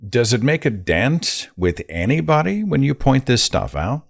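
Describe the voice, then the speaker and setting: A man's voice: medium pitch, very animated, measured pace, gravelly, no echo, studio quality. A man hosting an interview, asking questions in a conversational, opinionated way. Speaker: podcast host.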